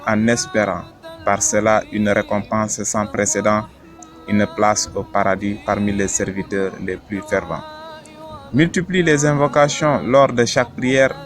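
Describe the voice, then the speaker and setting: Low-voiced man solemnly reciting religious poetry. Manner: measured, earnest, exhorting delivery. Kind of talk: solemn scripted recitation of a religious rhyming poem. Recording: music behind the voice. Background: music.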